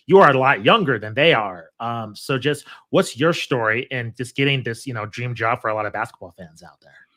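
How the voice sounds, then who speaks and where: A man hosts a casual interview, setting up his questions in an easy conversational way. His voice is bright and crisp-edged, at a middling pitch. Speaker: podcast host, interviewer.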